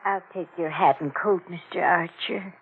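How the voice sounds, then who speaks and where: Husky, solemn old housekeeper. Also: female voice, fairly low pitch, faint music behind. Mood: subdued. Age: older. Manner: slow, drawn-out, solemn. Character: old, comforting, pious housekeeper, mother of a fugitive. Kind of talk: 1940s radio acting. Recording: muffled, narrow-band old radio recording.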